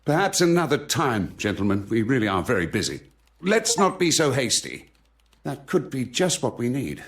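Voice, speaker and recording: A man's voice: mid-range voice, big pitch swings. A man playing a busy, shrewd newspaper man who is businesslike and dismissive in a mystery game. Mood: brisk, opportunistic. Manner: brisk, businesslike. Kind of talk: scripted game dialogue.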